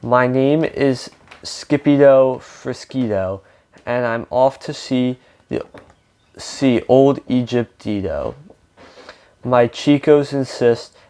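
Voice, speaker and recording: An excitable, cocky little kitten boy from a children's storybook, played by a man. He is exclamatory and stretches his words out slowly, pitched a little above an ordinary male voice. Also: close to an everyday voice. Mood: singsong boasting.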